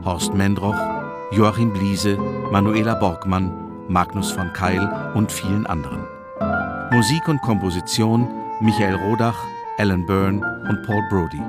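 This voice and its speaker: A man reads scripted radio drama credits as an announcer. He speaks slowly in a fairly low voice over prominent guitar music.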